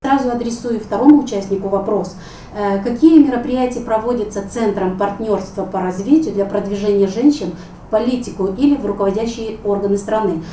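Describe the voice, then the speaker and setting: A woman's voice: medium pitch, a little fast, warm tone, slight background noise. A woman hosting a television studio interview, putting formal, direct questions to her guests. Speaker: host. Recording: roomy studio, distant mic, faint ambience.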